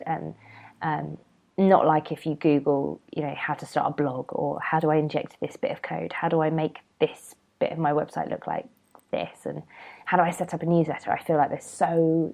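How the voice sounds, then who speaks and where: A woman's voice: low-pitched, creaky, darkish tone. A young British woman chatting casually and informally as a solo podcast host, rambling a little, nasal and congested with a cold. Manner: rambling, informal, self-deprecating.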